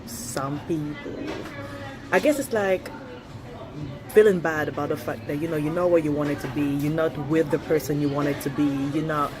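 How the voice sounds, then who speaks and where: A woman's voice: low-pitched, steady tone, moderate pace, over music, rough recording. Blunt Swiss French woman in her thirties. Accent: Swiss French, with a touch of British. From Switzerland. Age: 30s. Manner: blunt, chatty, laughs often, swears freely. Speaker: vlogger. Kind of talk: casual opinion vlog.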